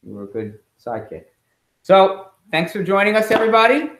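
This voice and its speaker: A middle-aged man, a school principal, reading a storybook aloud expressively and pausing with chatty asides and questions for children. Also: sits high, very animated, a bit bright. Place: indoors at home. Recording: echoey room in a home.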